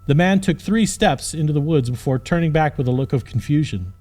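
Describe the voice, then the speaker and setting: Male audiobook narrator for scripted fantasy, slightly high pitch, music bed. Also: animated, fairly quick, warm tone.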